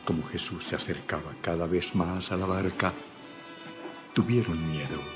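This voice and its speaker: A man reading scripted Bible narration with solemn, deliberate phrasing. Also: low voice, steady tone. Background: background music.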